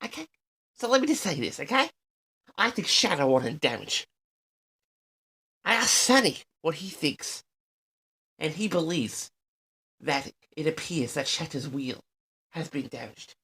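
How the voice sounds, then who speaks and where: A man's voice: on the high side, lively intonation, unhurried, long pauses, pushed and bright, breathy edge, full character voice. A man playing a pompous, easily affronted eccentric who records a comic, theatrical audio diary for his audience. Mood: grumbling, aggrieved. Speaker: audio log host. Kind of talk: comic rambling monologue to an audience.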